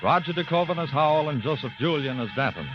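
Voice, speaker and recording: Over prominent music on a dull vintage radio recording, a male announcer reads credits. His fairly high voice moves widely in pitch in the scripted style of 1950. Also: expressive, fast talker. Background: loud music.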